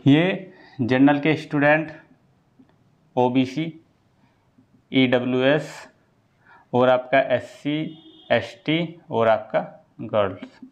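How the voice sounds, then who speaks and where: A man's voice: medium pitch, extremely slow, pauses often. A man teaching exam preparation informally to students, explaining step by step, repeating himself and often checking that they follow. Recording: dull lo-fi sound.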